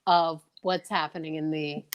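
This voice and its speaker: A woman's voice: low voice, level tone, moderate pace, smooth, lo-fi sound. A woman hosting a casual online chat show, teasing her companions and making showy announcer-like asides to the audience. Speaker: host.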